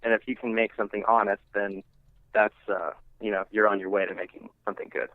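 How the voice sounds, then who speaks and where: Male musician guest, lowish voice, casual and halting interview talk, heard over a thin, phone-like connection. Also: level tone, no echo, faint music behind. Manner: halting, informal, rambling thought by thought. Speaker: interviewed singer-songwriter and music teacher. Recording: thin phone-line sound.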